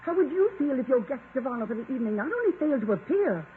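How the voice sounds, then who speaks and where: A woman's voice: high-pitched, big pitch swings, rapid-fire, phone-quality sound. A woman playing an imperious, easily wounded wealthy older benefactor in a 1950s radio drama, speaking in a haughty, melodramatic way. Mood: offended, aggrieved. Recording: thin vintage radio recording, faint noise.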